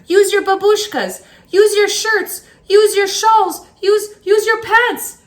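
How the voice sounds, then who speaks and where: An urgent villager from a storybook rallies the neighbours, acted out by a woman. The delivery is insistent and pitched very high. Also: steady tone, slow.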